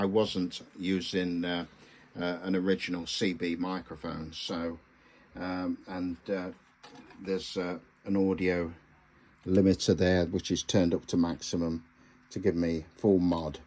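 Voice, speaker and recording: A man giving a casual hands-on demonstration as a radio hobbyist host, speaking in a halting, hesitant way with pauses. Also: sits low, a little mellow.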